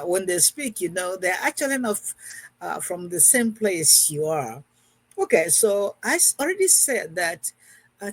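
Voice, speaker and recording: A woman in her sixties talks through a casual recorded lesson as a math teacher. Her voice has a husky, slightly breathy edge. She circles back, repeating and rewording each point as she goes. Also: medium pitch. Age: 60s.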